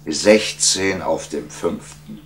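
A man voicing a calm, humble wise man in a storybook tale, measured and deliberate. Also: rumbling low. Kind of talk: storybook character acting.

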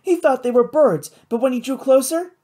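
A narrator voicing an eager, excitable young woman in an audiobook, breathless and urgent. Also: high voice, moderate pace, pitched above the performer's own voice. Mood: breathless, triumphant.

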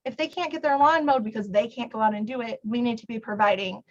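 A woman's voice: fairly high pitch, fast, lo-fi sound. A woman giving a conversational answer as a candidate at a public forum. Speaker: city council candidate.

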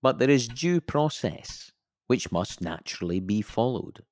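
An actor playing a measured, formal investigator of a suspicious death in an audio drama. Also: male voice, medium pitch, animated, a little mellow, no echo, lightly acted. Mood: measured, official. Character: dry, officious male investigator of a suspicious death.

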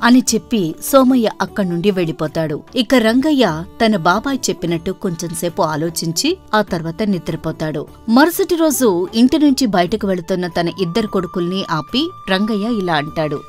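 A woman narrator reading a scripted folk tale for an animated story. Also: mid-range voice, normal speed, few pauses. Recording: background music throughout.

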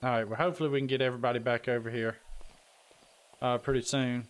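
A man's voice: medium pitch, little pitch movement, fast talker, low-quality recording. Male gaming stream host, folksy and casual, mid-range voice, chatting to viewers and crew over voice chat. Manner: folksy, says y'all. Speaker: livestream host. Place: voice chat during an online game stream.

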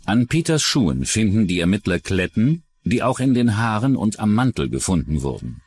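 A man's voice: on the low side, big pitch swings, moderate pace, a little mellow, husky. A man narrating a true-crime documentary from a script as its narrator.

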